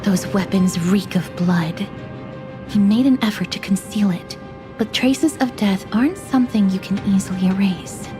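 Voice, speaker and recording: An actress playing a composed, observant travelling companion in a video game, polite, measured and formal. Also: female voice, medium pitch, music in the background, close to an everyday voice. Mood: quietly pensive.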